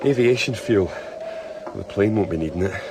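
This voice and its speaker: A man's voice: on the low side, normal speed, heavy background noise, lightly acted. A man playing a grim, terse, commanding member of a polar research station in an audio drama. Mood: grim resolve.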